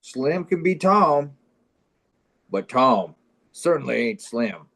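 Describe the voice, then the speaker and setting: A man co-hosting a live comedy show over an online video call, bantering casually in a deadpan, mock-serious way. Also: mid-range voice, takes it slowly, vocal fry.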